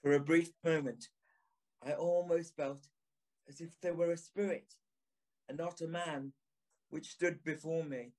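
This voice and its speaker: A male actor playing an uneasy Victorian gentleman, narrating a ghostly audio drama in grave, literary phrases. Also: fairly high pitch, steady tone, lightly acted. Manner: slow, grave, literary delivery.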